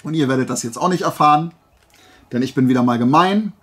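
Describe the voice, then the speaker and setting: A man's voice: medium pitch, quick pace, a bit bright, husky, soft background music. A man chatting casually and playfully to camera as a vlogger, rambling through asides. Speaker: YouTuber showing flea market finds.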